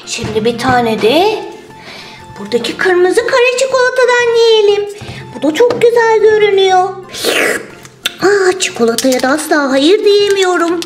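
A woman's voice: extremely high pitch, animated, unhurried. A woman voicing a playful, greedy toy turtle in a children's toy story, exclamatory and sing-song. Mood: delighted, hungry, then gleeful, hungry. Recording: roomy sound with music underneath.

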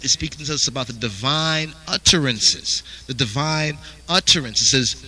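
A man's voice: medium pitch, sharp tone, low-quality recording. A man teaching a Bible study, reading verses aloud and asking "you understand?" after nearly every phrase. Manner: repeats "you understand?" constantly.

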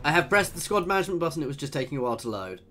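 Man, husky, hoarse with a cold, tired, casual game commentary, music in the background. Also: on the high side, big pitch swings, racing, bright, forceful tone. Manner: chatty, thinking aloud with frequent ums. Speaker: gaming video host.

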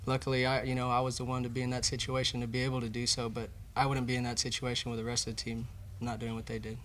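A man's voice: mid-range voice, completely flat delivery, quick pace, fairly bright tone, slight background noise. A young man, a college baseball player, earnestly answering questions about his team at a press conference. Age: young adult. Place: indoor press conference room. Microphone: table microphone.

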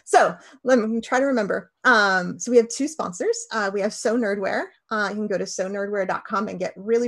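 A woman in her thirties hosting a casual livestream interview over a video call, chatty and rambling with lots of fillers. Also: fairly high pitch. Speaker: podcast host.

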